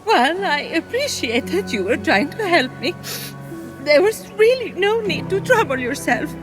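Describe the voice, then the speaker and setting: Game goddess of love: extremely high, slow, airy and theatrical, formal phrasing, music underneath. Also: female voice, big pitch swings, exaggerated voice. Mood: serene, polite. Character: goddess of love, gentle, serene, then dramatically distraught. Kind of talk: animated video game acting. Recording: music behind the voice.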